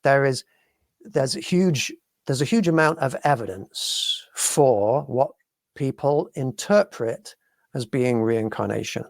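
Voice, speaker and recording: A British man from the UK hosting a talk show, reasoning through ideas in a measured way. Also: mid-range voice, animated, gravelly, no room sound, studio quality. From United Kingdom. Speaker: podcast host. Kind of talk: casual talk show discussion.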